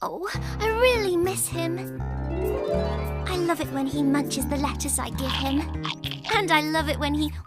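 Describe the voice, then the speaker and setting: A woman's voice: high-pitched, a little slow, long pauses, over loud music. A woman voicing a sweet, fretful, childlike girl rabbit in a preschool cartoon. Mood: wistful, worried. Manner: plaintive, childlike. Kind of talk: preschool animated show dialogue.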